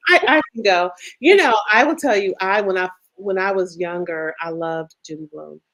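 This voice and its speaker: Expressive woman author reading on a video call. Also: normal speed. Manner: expressive reading with big pitch swings, then relaxed chat full of "you know". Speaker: children's book author. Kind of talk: reading her picture book aloud, then interview chat.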